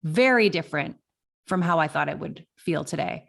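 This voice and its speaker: A fifty-year-old woman hosts a solo podcast in a slightly low voice. She speaks casually and reflectively, like someone thinking back over her life. Her delivery is candid and conversational, leaning on key words. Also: lively intonation, moderate pace, vocal fry. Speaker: podcast host.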